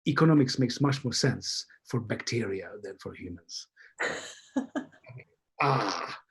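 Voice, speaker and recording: Man, slightly high husky voice, halting thoughtful academic talk, emphatic key words, video call. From Hungary. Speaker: academic guest, behavioral scientist. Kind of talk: thoughtful interview conversation.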